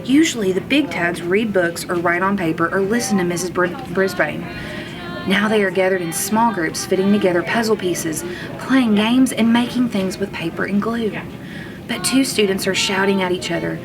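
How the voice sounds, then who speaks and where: Expressive woman reading a storybook over music. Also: mid-range voice. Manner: expressive, with drawn-out words for emphasis. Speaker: kindergarten teacher reading aloud. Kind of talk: children's storybook read-aloud, first-person narration. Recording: music under the voice. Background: background music.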